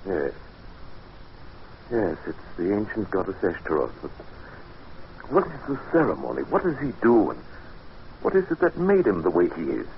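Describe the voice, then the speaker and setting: Uneasy gentleman narrator for vintage radio play: deep, musing, measured, dramatic, on a muffled old recording. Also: huge pitch swings, gravelly, a little hiss or hum, full character voice. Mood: uneasy, questioning. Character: male narrator Buchan, curious, uneasy house guest. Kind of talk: old time radio drama acting, first-person narration.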